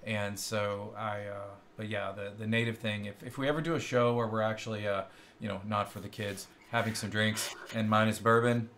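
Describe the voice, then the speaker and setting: A man's voice: on the low side, flat delivery, moderate pace, a bit bright, a little hiss or hum. A middle-aged man with a Kentucky accent co-hosting a casual tech chat over a video call, upbeat and chuckling often as he explains things. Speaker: co-host. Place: indoor room on a video call. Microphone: wired earbuds on a video call.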